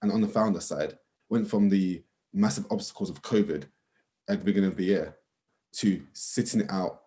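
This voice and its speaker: A man giving measured, earnest remarks as an investor on a panel. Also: sits low, warm tone. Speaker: angel investor, panel guest.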